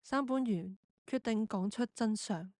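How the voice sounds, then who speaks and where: A woman's voice: mid-range voice, unhurried, darkish tone, no room sound, clean studio sound. A woman narrating a scripted radio drama.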